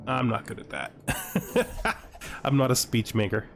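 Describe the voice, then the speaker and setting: A man's voice: mid-range voice, big pitch swings, slow. A man narrating a video game playthrough casually, thinking aloud and reading on-screen text as he plays. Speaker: gaming video host, player narrating a playthrough. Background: music under the voice.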